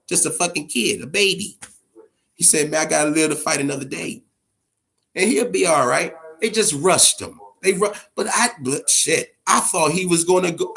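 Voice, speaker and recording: An American man with a fairly high-pitched voice hosts a casual boxing talk. He gives his opinions in emphatic, slangy, curse-heavy speech. He often repeats his phrases to drive them home. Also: gravelly, fairly bright tone. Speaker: boxing show host.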